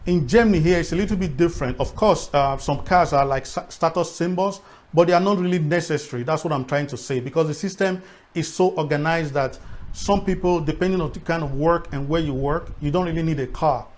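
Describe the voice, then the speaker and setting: Higher-voiced Nigerian man sharing reflections. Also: brisk. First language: Edo. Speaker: vlogger. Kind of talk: reflective talk to viewers.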